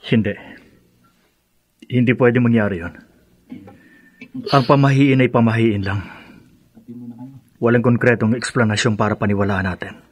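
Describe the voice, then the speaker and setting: A man playing a sceptical older brother in a radio drama, firm and reassuring with a husky voice. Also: medium pitch, fairly even intonation, unhurried, long pauses. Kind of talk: horror radio drama acting.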